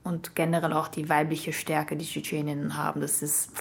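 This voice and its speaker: A woman's voice: low-pitched, monotone, moderate pace, gravelly, breathy edge. A woman talking earnestly about herself as an interviewee. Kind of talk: personal talk.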